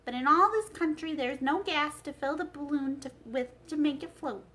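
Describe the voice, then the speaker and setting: A woman's voice: high-pitched, normal speed, fairly bright tone, voice pushed up. A woman voicing a weary old humbug wizard in a storybook, earnest and emphatic as he explains himself. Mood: earnest, explaining.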